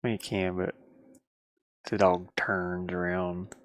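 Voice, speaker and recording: A tired man thinking aloud casually as he works on a game, muttering to himself and grumbling at the software into a headset mic. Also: low-pitched, level tone, slow, no echo. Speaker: indie game developer working on camera. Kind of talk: casual thinking-aloud screen-work stream.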